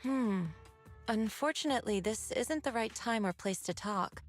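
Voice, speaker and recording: An actress playing a serene, self-assured martial master in a video game, speaking in a composed, measured and slightly cryptic way. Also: female voice, a little high, expressive, faint music behind. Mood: calm, amused.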